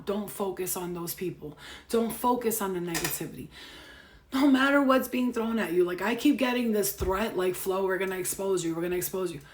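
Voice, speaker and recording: A woman's voice: medium pitch, slight background noise. Brash, profane woman in her thirties. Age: 30s. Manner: brash, profane and animated, swinging between loud rants and soft earnest asides. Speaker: tarot reader and spiritual healer. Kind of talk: casual live-stream chat to viewers.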